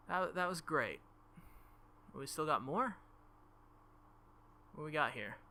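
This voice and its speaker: A man hosting a game playthrough, reading the story aloud dramatically with casual reactions and the odd stumble over words. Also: on the high side. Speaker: let's play host reading a visual novel aloud. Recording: loud game music behind the voice. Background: game music.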